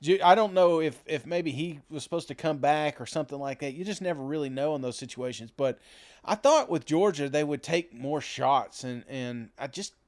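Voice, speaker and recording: A man's voice: a little high, normal speed, darkish tone. A man co-hosting a casual sports podcast, talking in a folksy, rambling, self-deprecating way. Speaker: podcast co-host.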